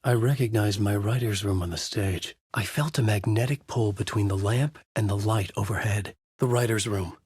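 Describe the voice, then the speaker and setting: A man's voice: fairly low pitch, moderate pace, hardly any pauses, a little mellow, breathy edge, no echo, studio quality. A middle-aged man narrating a video game as a brooding writer, in a noir-like inner monologue. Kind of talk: hard-boiled game narration.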